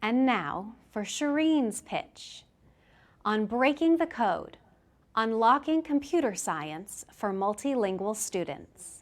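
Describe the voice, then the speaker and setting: Upbeat host, high-voiced woman. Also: sing-song, slow pace. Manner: upbeat and encouraging. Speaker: event host. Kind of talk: hosting an interview.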